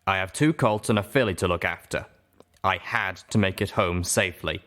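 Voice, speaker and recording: A man's voice: low voice, moderate pace, fairly bright tone. A man voicing a proud unicorn stallion shopkeeper and father in an audiobook, firm, scornful and unashamed.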